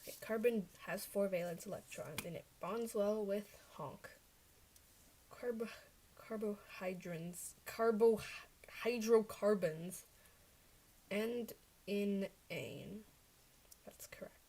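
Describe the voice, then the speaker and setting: A woman's voice: medium pitch, slow pace, pauses often, warm tone, low-quality recording. A young woman whose first language is Chinese, talking to herself as she checks her study answers on a casual livestream into a desk microphone, often correcting herself.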